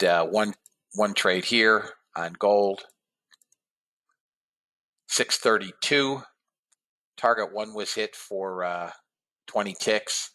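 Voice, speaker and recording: A man hosting a live trading webinar, talking casually to his viewers and calling them guys and fellas, a bit hoarse. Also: fairly low pitch, pushed and bright. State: slightly hoarse voice. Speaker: trading room host.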